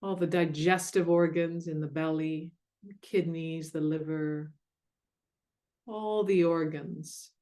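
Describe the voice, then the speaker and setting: Woman doing guided meditation, kinda low voice, really slow and soothing, lots of pauses. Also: steady tone. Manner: gentle, soothing, unhurried with long pauses. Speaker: meditation guide and mindfulness coach.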